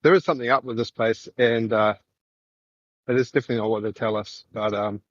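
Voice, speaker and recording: A man's voice: medium pitch, steady tone, no echo. New Zealand man rambling on a muffled call. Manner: rambling, halting, many fillers. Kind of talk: casual voice chat while walking. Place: street outdoors, walking.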